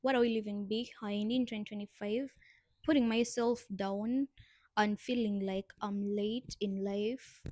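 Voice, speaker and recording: A woman's voice: mid-range voice, steady tone, unhurried, a little mellow, no echo. A young woman co-hosting a casual podcast chat, reading prompts aloud and laughing often. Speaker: podcast co-host.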